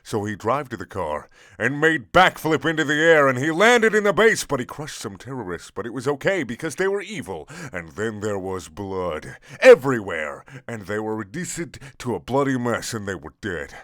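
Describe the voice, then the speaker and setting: A man's voice: medium pitch, very animated, moderate pace, a bit bright, no echo, clean studio sound. A man narrating a comic action story in stilted, broken English with dropped articles.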